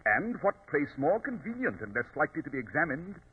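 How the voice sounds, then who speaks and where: An actor playing a self-assured, dryly superior detective, speaking precisely in 1940s radio drama style. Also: male voice, fairly high pitch, big pitch swings, a little fast, a little hiss or hum. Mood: assured explaining. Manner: precise, explanatory, theatrical. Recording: tinny old radio recording.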